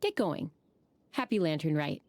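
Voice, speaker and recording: An actress playing a gentle, earnest young townswoman in a video game, politely explaining things. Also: low voice, sing-song, measured pace, soft background music. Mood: resigned, kindly. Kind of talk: video game character acting.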